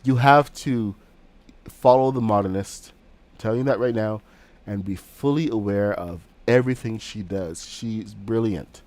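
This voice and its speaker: A man's voice: lowish voice, unhurried, a little mellow. A man streaming a building game, talking casually while he builds, with a cold that makes him sniffle, rambling with lots of ums and restarts. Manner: rambling, with frequent fillers and restarts.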